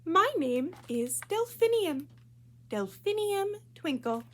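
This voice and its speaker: A woman's voice: very high-pitched, very animated, slow, a bit bright, voice pushed up, full character voice. A woman voicing a kind, dreamy and theatrical music teacher from a children's storybook, with emphatic delivery. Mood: proud, warm. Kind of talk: children's storybook read-aloud.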